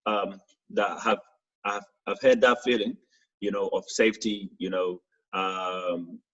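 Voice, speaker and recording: A man's voice: fairly low pitch, fairly bright tone, slightly rough. A man from South Sudan, a youth programs coordinator, speaking hesitantly with frequent fillers in a panel discussion. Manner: hesitant, many fillers and restarts. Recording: dull lo-fi recording.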